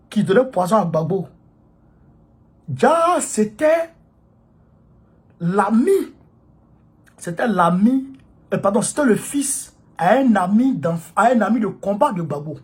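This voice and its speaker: A man rallies supporters in a fervent, repetitive monologue, hammering each point home again and again. His voice is rough and deeply husky, and he has a cold. He speaks a little higher than most men. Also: darkish tone. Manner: emphatic, repetitive, preachy. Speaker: political activist addressing supporters. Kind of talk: impassioned political monologue.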